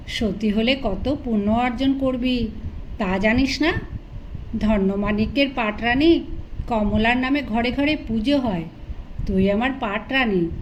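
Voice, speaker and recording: A woman narrator voicing a proud maharaja, an indulgent yet imperious husband, teasing and commanding in an audio story. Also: fairly high pitch. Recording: dull lo-fi recording with loud noise.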